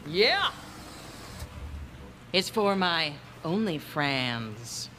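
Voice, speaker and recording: A woman's voice: deep voice, very animated, extremely slow, sharp tone, slight background noise, full character voice. A woman acting in a video game as a tough, brash female shop owner, blunt and sardonic, drawing out her words. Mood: sly, casual.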